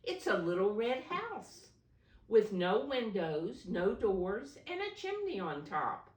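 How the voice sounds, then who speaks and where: A woman voicing a curious, excitable little boy in a storybook, calling out eagerly. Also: medium pitch, slow, voice pushed up. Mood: excited. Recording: roomy echo, distant mic.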